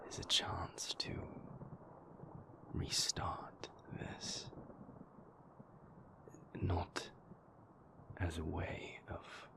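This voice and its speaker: A man playing an earnest, guarded, remorseful vampire boyfriend in an intimate audio roleplay, speaking in a breathy, gravelly, halting and deliberate way. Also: low voice, heavily acted. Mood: tender, hopeful. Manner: halting, deliberate, measured phrasing with long pauses.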